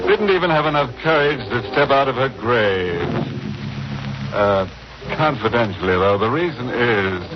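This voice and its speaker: An actor playing a gleefully morbid horror host, mock-sinister and drawling, in 1940s radio style. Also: male voice, on the low side. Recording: narrow tinny old radio recording.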